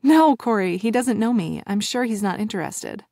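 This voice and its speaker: A woman's voice: mid-range voice, animated, normal speed, warm tone, dry recording, studio quality. A woman voicing a flustered, skeptical woman of thirty, raising her voice with surprised questions. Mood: uncertain. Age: 30s.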